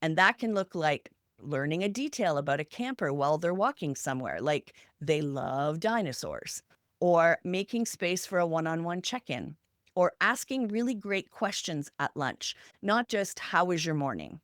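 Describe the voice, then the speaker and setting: A woman's voice: on the low side, expressive, moderate pace, a bit bright, no room sound, clean studio sound. A woman co-hosting a podcast, talking in a structured, instructional way and stressing points through short, parallel lists. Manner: emphatic, listing examples in short parallel phrases. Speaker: podcast co-host.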